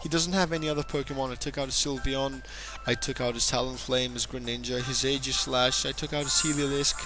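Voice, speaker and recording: A young man commentating a video game battle, excitable and jokey, speaking to his audience as ladies and gentlemen. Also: mid-range voice, a little fast. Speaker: gaming commentator. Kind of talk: Let's Play battle commentary. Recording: music playing behind the voice.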